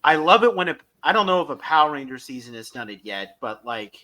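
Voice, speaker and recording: A man's voice: on the high side, very animated, fast talker, pushed and bright, low-quality recording. A man co-hosting a podcast, giving an enthusiastic, opinionated fan review in a casual discussion.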